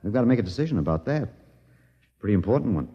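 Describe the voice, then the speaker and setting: Wry tender male survivor, musing, lively low voice, 1950s radio drama, dull reverberant recording. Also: rapid-fire, breathy edge, heavily acted. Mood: musing, earnest.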